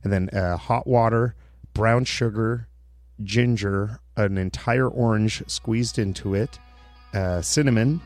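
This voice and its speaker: A man with a low voice, co-hosting a podcast and rambling through his stories. He often restarts his sentences partway through. His voice sounds dry and close. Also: takes it slowly, a little mellow, dry recording, faint music behind. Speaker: podcast co-host. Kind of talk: casual chat.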